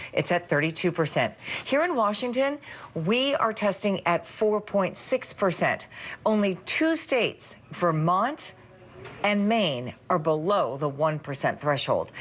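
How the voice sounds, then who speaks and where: Low-voiced woman delivering broadcast news. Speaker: TV news reporter.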